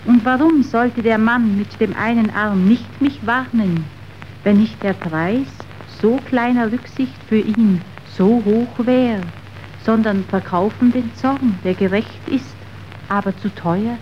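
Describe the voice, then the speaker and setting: A compassionate young woman pleading for the poor in a radio play. Her high voice moves very slowly and solemnly, as verse declamation. The recording is dull, with light noise behind it. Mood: solemn, indignant.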